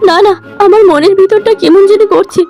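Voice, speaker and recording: A woman's voice: very high-pitched, fairly quick, dark tone. A woman voicing a sorrowful, tender queen and mother in a melodramatic fairy-tale cartoon. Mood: worried. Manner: mournful, tender. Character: sorrowful, tender village queen longing for her lost daughter. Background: music.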